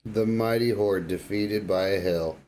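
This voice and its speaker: Lowish-voiced man bantering wryly. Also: a little slow, dark tone. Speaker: podcast co-host. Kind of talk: casual banter.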